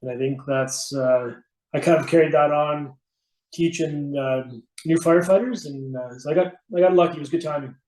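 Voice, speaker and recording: A man's voice: on the high side, normal speed, dark tone, a little hiss or hum. A middle-aged man from Canada talking casually as an interview guest about his own experience. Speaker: podcast guest, veteran firefighter and competitor. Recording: roomy, echoey sound.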